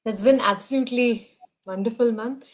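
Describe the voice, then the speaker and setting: A woman interviewing a guest, asking polite, measured questions. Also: fairly high pitch. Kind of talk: online interview.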